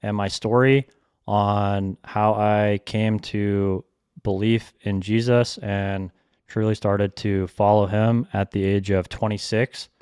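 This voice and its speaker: A man's voice: fairly low pitch, flat delivery, takes it slowly, a little mellow, clean tone, no echo, clean studio sound. A man in his twenties from Georgia, hosting a solo podcast and earnestly sharing a personal testimony in a reflective, storytelling way with frequent ums. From Georgia, United States. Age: 20s. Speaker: podcast host.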